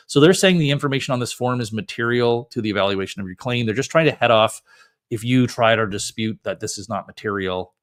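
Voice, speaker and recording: A man's voice: mid-range voice, fairly bright tone. Male lawyer from Canada, mid-range voice, informal screen-share explainer, plenty of ums and restarts. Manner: casual, conversational, with frequent fillers and self-corrections.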